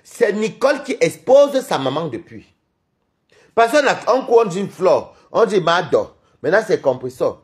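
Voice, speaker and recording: Man, Cameroonian French accent, high voice, very lively swooping pitch, rambling gossipy livestream chat. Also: unhurried. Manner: rambling, animated, gossipy, laughs often. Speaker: livestream host. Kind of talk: live-stream chat with viewers.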